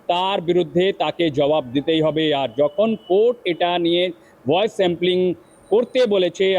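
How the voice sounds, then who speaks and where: A man giving an unscripted news report as a reporter, halting and repetitive, often breaking off words. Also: a little high, a little mellow, rough recording. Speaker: field reporter.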